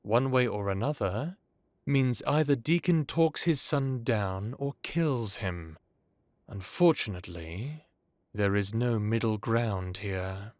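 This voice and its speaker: A man acts out an ancient male vampire lord in an audio roleplay. He speaks slowly in a lowish pitch, measured and courteous, with a knowing, world-weary manner. The sound is close and dry. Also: no echo. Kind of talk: dramatic audio roleplay acting.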